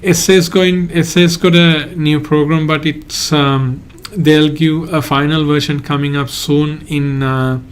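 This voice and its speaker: A man from Sri Lanka, a migration agent hosting a live Q&A and answering viewer questions in a halting, hedging way. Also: on the high side, steady tone, clean tone. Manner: halting, hedges often, reads out viewer questions.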